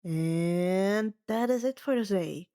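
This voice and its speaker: A woman narrating and hosting fan fiction readings in a measured, expressive storytelling manner. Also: mid-range voice. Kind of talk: scripted first-person story narration.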